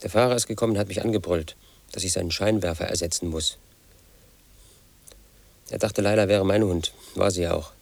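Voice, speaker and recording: An actor playing a brooding, paranoid man in his thirties, telling his own story as a flat inner monologue in a radio play. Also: deep voice, a little fast, gravelly, long pauses, no room sound. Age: 30s. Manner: flat, brooding, quietly obsessive.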